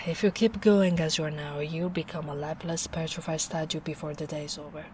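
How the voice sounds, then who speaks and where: A woman playing a gentle, caring big ogre in a soft-spoken ASMR roleplay, musing in an unhurried way. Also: sits low, steady tone, slight background noise, close to an everyday voice. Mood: concerned.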